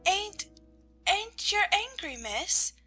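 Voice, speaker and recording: A woman's voice: extremely high pitch, big pitch swings, extremely slow, sharp tone, faint music behind, pitched above the performer's own voice, exaggerated voice. A woman voicing a humble, frightened young scullery maid with a Cockney accent, timid and stammering, in a dramatized storybook. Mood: anxious.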